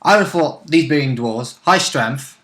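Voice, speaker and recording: A man's voice: medium pitch, animated, a little slow, fairly bright tone. A man co-hosting a casual chat about a tabletop game and its rules, recorded in a room. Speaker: co-host and tabletop game player. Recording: roomy, echoing sound.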